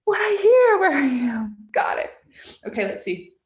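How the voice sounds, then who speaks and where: A woman children's book author talking casually and interactively to children on a video call, expressive and encouraging, often asking questions. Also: sits high, normal speed. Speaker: children's book author giving a read-aloud.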